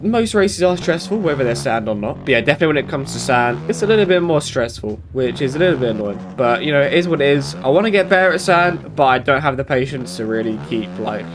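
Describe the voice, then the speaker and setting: A British man talks casually over his own gameplay, his voice stuffy and blocked from hay fever. He rambles along with frequent ums and corrections, at an ordinary male pitch. Loud motorbike engine noise from the game runs underneath. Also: expressive, fast, few pauses. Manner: rambling, many fillers and self-corrections. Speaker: gaming YouTuber. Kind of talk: casual commentary while playing. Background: motorbike game engine sounds.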